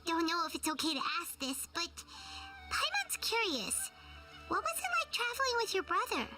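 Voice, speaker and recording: A woman voicing a small, childlike, devoted floating fairy companion in a video game, with a squeaky, exclamatory delivery. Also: sing-song, normal speed. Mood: hesitant, earnest. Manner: refers to self in third person, exclamatory. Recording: game audio with loud music, slightly distant.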